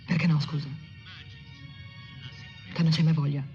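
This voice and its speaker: An actress playing a fed-up, bickering working-class wife who scolds and repeats herself snappishly in film dialogue. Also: female voice, sits low, steady tone, measured pace, mellow. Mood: exasperated. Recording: muffled film soundtrack with music behind.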